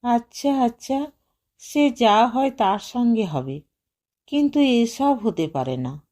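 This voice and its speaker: A woman narrator plays a nervous gentleman in an audio short story. He is courteous but flustered and hesitant, protesting in a mellow, unhurried voice. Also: a little high, animated. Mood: flustered surprise.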